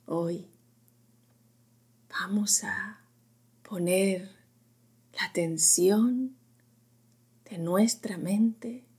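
A woman narrating a guided sleep meditation in a gentle, unhurried, whispery voice, in short phrases with long pauses. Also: medium pitch. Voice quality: breathy, whispery, often dropping into whisper.

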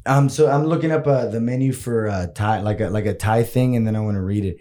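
A man's voice: fairly low pitch, fast talker, warm tone. A man co-hosting a podcast, chatting casually and rambling through jokes, swearing freely and slipping in Spanish phrases. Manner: rambling, joking, laughs often, drops in Spanish phrases. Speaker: podcast co-host. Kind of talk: casual chat.

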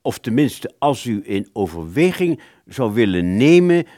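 A man's voice: mid-range voice, very animated, a little slow, dark tone, no echo, clean studio sound. A man playing an earnest, courteous musician in a radio play, hesitant and formal, stumbling over his words. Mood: awkward pleading.

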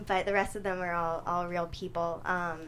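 A woman's voice: fairly low pitch, flat delivery, normal speed, fairly bright tone, slight background noise. A woman screenwriter giving a casual interview in an informal, hesitant manner.

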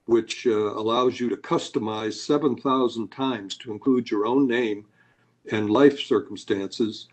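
A man's voice: medium pitch, a little slow. A man answering interview questions as a scholar, lecturing with many hesitations and uhs. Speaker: Bible scholar guest.

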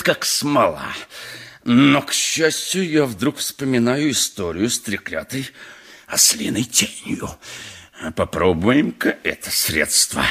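Blustery husky old-school radio play sea captain, slow drawn-out delivery, really animated, slippery fiance type. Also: male voice, medium pitch, full character voice. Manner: theatrical, blustering, sardonic asides. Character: gruff, blustering, evasive sea captain reluctant to marry. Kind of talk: Soviet radio play acting.

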